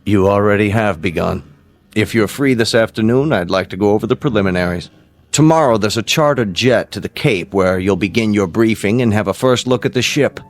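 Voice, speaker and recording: An American actor playing a grave, paternal space-program scientist in a radio drama, speaking formally, deliberately and with emphasis. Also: male voice, fairly low pitch, close to an everyday voice. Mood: candid, fatherly. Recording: music behind the voice.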